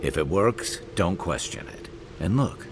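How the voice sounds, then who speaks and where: A man playing a gruff, sardonic, laid-back adventuring companion in a video game, with a gravelly voice. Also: low voice, expressive, unhurried, background noise. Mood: matter-of-fact.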